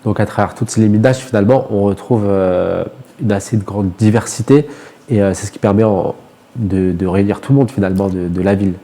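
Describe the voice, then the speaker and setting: A man's voice: fairly low pitch, a little fast, warm tone. A man interviewing a guest on the radio, putting questions to them.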